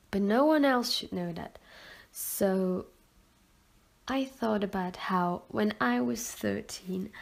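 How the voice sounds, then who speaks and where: A young woman recites slam poetry at a slow, deliberate pace. Her medium-pitched voice often frays into creak. The delivery is earnest and emotional, with dramatic shifts between hushed and forceful phrasing. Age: 20s. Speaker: amateur poet.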